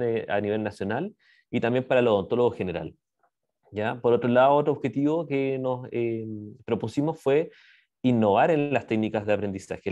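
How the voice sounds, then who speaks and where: Chilean guy giving a slide talk on a video call, normal pitch, kinda halting, keeps adding little check-in questions. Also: a little mellow, lo-fi sound. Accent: Chilean Spanish. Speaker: university dentistry lecturer presenting a project. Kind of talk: explanatory slide presentation. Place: indoor room, on a video call. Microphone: video call microphone.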